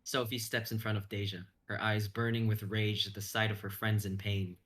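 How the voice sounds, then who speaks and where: A man's voice: on the low side, little pitch movement, moderate pace, a bit bright. A young man reading story narration aloud in an intense, suspenseful manner. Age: young adult. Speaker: stream host and narrator.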